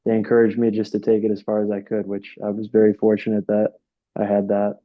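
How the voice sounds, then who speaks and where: A man's voice: fairly low pitch, monotone, fairly quick, dull audio. A young man from upstate New York, a soccer coach, answering interview questions in a casual, rambling way full of fillers and restarts. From Rochester, New York.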